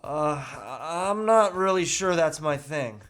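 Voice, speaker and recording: A reluctant family man, acted by a man in a comedic table read, speaks in a fairly high voice. He sounds hesitant and protests that he is out of his depth. Faint music plays behind him. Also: lively intonation, slow pace, a bit bright. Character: reluctant, overwhelmed family man dragged into a sleazy lifestyle.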